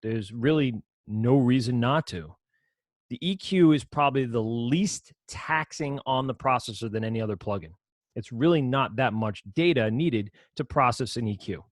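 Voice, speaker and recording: Casting: man, low voice, casual rambling teacher walking through software, dry close sound. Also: expressive, normal speed, dry recording. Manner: casual, rambling, self-correcting explanations. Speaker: audio production teacher. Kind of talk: screen-share software lesson.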